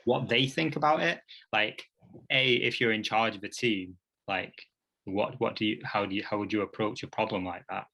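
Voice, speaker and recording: Gravelly, bright-voiced male podcast host. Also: on the low side, normal speed, rough recording. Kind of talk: casual podcast discussion.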